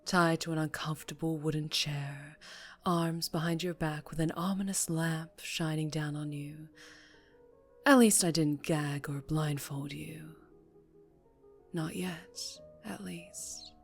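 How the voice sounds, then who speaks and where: Woman acting a low, slow, menacing mafia boss lady. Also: fairly even intonation, husky, soft background music, exaggerated voice. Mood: teasing menace. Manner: teasing, slow, deliberate, drifting into whispers. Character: dangerously charming, menacing female mafia boss, teasing and controlled. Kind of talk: ASMR roleplay acting.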